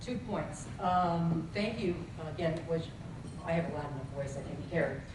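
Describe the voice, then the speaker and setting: A professor speaks up from the audience at a conference, earnest and wandering through asides. The voice sits at a middle pitch and is heard far from the microphone in a very echoey hall, with faint noise behind it. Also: normal speed.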